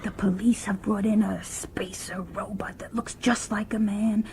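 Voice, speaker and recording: A woman's voice: medium pitch, steady tone, a little slow, a little mellow, slight background noise, close to an everyday voice. An actress playing a policeman's anxious, insistent wife, agitated and pleading, in a radio drama. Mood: frightened, insistent. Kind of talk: old-time radio drama acting.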